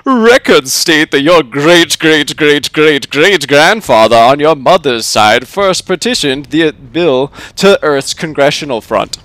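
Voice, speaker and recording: Bureaucratic AI clerk read by a man, slightly high voice, formal and unhelpful, dryly sardonic, for a sci-fi short story. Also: lively intonation, slow, few pauses, a bit bright, no room sound. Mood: matter-of-fact. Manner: formal, bureaucratic, matter-of-fact. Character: unhelpful officious male AI clerk, coolly sardonic.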